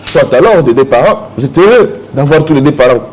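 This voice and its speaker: A man's voice: on the high side, lively intonation, brisk, darkish tone, slight background noise, poor audio. A man speaking as a jurist guest in a morning interview, explaining legal texts and reading articles aloud to the host. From Democratic Republic of the Congo.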